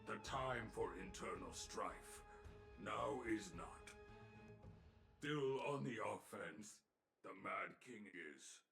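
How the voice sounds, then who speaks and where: A man voicing a stern, ancient oracular sage in a video game, with a gravelly voice and drawn-out, inverted phrasing. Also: fairly low pitch, a bit bright, full character voice. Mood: stern, urgent. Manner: commanding, drawn-out, inverted word order. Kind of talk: dramatic video game voice acting. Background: game music.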